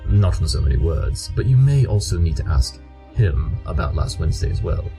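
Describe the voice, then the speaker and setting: A man's voice: sits low, very animated, normal speed, vocal fry, warm tone, soft background music, full character voice. A man playing an aloof, blunt pony detective in an audio drama, speaking formally and with pedantic precision. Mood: confident, detached. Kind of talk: fan audio drama acting.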